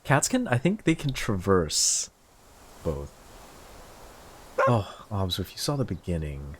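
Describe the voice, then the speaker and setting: A man casually commenting while playing a game, gasping and jumping high in pitch when surprised. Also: huge pitch swings, slow pace, a bit bright, a little hiss or hum. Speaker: streamer. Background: game music.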